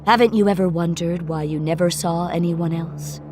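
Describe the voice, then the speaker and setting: A woman's voice: lowish voice, moderate pace, music in the background, lightly acted. A woman voicing a kindly, matter-of-fact male caretaker with polished customer-service patter, in a dramatised ghost story. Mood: calm, matter-of-fact. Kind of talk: dramatised audiobook dialogue.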